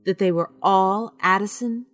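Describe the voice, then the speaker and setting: A woman playing a sensible, skeptical friend in an audio drama, with a dry, measured manner. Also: medium pitch, no room sound, faint music behind, close to an everyday voice.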